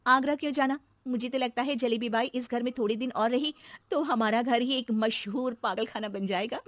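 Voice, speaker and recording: A woman's voice: a little high, animated, measured pace, a bit bright, no echo. A woman voicing a level-headed housewife who teases her maid with mock-serious logic, in a cartoon story. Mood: calm. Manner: sly, mock-serious teasing.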